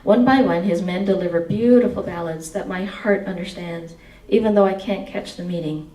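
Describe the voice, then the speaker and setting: Woman in her forties, headset mic, expressive reading, roomy sound from a distance. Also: lowish voice, moderate pace. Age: 40s. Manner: expressive reading, sings snatches of song. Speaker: author reading her memoir. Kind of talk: book reading and audience Q&A. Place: indoor meeting room.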